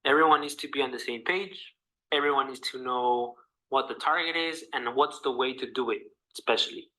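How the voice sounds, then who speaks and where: Bright-voiced young Mexican man presenting. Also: medium pitch, rough recording. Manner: earnest, halting delivery. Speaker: student presenter. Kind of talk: class presentation.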